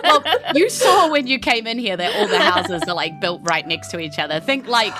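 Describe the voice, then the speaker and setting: A woman's voice: a little high, very animated, moderate pace, over loud music. A woman with a New Zealand accent, casually narrating an improvised tabletop game as game master.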